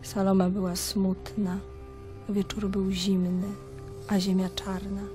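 Aktorka jako powolna, jednostajna, smutna młoda księżniczka. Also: female voice, medium pitch, completely flat delivery, slow pace, mellow, soft background music, natural voice. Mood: solemn, mournful. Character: grieving young princess telling her tale in third person. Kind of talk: storytelling in a radio drama.

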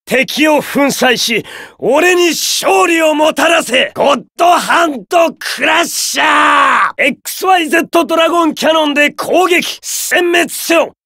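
An actor playing an arrogant, domineering young card duelist in an anime video game, declaiming imperious battle commands theatrically. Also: male voice, very high voice, animated, a little slow, sharp tone, dry recording, raised voice, clean studio sound, exaggerated voice. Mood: aggressive, commanding.

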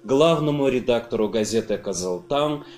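A young man presenting a formal event with slow, declamatory scripted lines. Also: mid-range voice, animated, a little mellow. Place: indoors in front of a logo backdrop. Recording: roomy.

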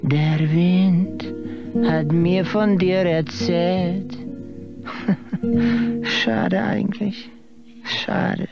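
Gravelly, vengeful chauffeur, high-ish voice. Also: male voice, drawn-out words, pauses often, exaggerated voice. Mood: quietly menacing. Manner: deferential on the surface, sly. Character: softly menacing vengeful male chauffeur, aspiring singer. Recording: muffled radio drama, loud music behind.

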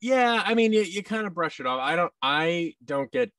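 An American man in his thirties chatting casually as an interview guest, in a halting, self-effacing manner. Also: sits high, expressive, a bit bright, dry recording, far from the mic. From United States. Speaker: guest, voice actor. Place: office room indoors, video call. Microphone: boom microphone and headset on a video call.

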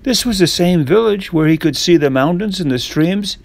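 A man tells a storybook tale in a measured manner. His voice sits a little high for a man, and the sound is close and dry, with hardly any room on it. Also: expressive, vocal fry, no echo. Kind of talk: scripted storybook narration.

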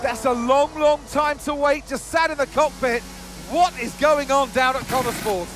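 A man playing an urgent, excited motor racing commentator in a video game. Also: very high-pitched, moderate pace. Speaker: race commentator.

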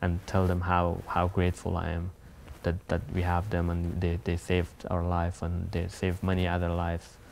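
Earnest young man, low flat voice. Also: vocal fry, soft background music. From Afghanistan. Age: young adult. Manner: earnest, halting, many restarts. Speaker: interviewee. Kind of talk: sit-down interview. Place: empty concert hall stage.

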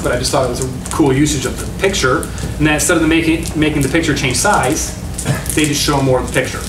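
A middle-aged man giving a casual talk at a meetup, often correcting himself as he goes. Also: medium pitch. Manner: casual, self-correcting, enthusiastic about design. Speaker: meetup speaker. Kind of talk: conference talk with slides. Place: meeting room with projection screen. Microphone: room recording from a far camera. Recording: echoey room from far off, noisy.

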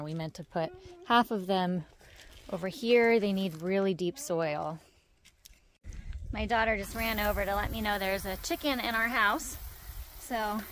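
A woman's voice: medium pitch, slight background noise. A woman in her thirties, a homesteader, chatting casually as she walks through her fenced garden outdoors and talks into a handheld camera. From Idaho, USA. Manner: relaxed, chatty, trailing off between points. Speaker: homesteader giving a garden tour. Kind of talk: casual walking garden tour.

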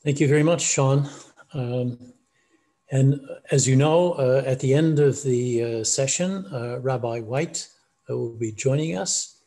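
An older man moderating a panel on a video call, introducing the next speaker with frequent pauses and fillers. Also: mid-range voice, mellow. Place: home room. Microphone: video call webcam.

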